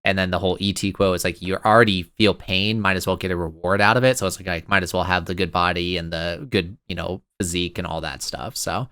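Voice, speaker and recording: Lively young man, smooth dry voice. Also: low voice, no echo. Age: 20s. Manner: excitable, rambling, quick to laugh. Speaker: podcast co-host. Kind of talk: casual chat.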